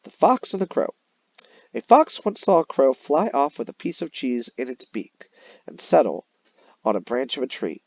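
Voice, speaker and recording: A male teacher explains a lesson to young children in a lively, varied voice. He speaks instructively, as if guiding a class through a read-aloud. The recording is dry and somewhat dull. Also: mid-range voice, normal speed, no room sound. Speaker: elementary teacher. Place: voice-over on a screen-recorded slide lesson.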